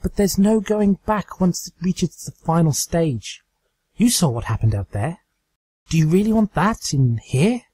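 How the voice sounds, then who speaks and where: A man voicing a serious, rational female unicorn pony, firm but sorrowful, earnest and measured. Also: high voice, animated, dark tone, husky, no room sound, pitched above the performer's own voice, heavily acted. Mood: urgent.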